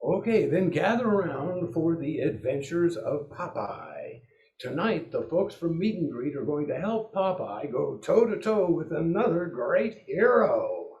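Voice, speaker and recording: Male radio show host, highish lively voice, theatrical 1940s announcing, echoey room. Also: a little slow, muffled recording. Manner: theatrical, showmanlike. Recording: echoing room.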